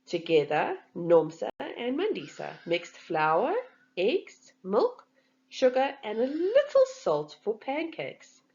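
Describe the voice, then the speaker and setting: Slow, animated woman storyteller. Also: mid-range voice. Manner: deliberate, animated delivery for young children. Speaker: children's story reader. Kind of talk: expressive storybook narration. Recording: slightly dull, band-limited recording.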